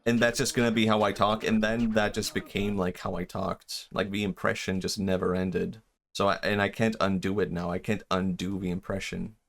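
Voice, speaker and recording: Young man from Sweden, native Swedish speaker, low voice, rambling casual stream chat, self-deprecating. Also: level tone, moderate pace, gravelly, a bit bright. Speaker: game streamer. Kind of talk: casual live-stream chat while gaming.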